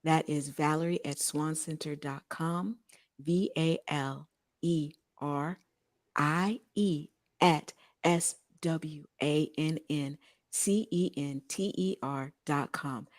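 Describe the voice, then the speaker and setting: Low-voiced Texan woman hosting a radio show. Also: slow, dry recording, clean studio sound. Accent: Texas. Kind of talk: casual chat with promotional read-outs.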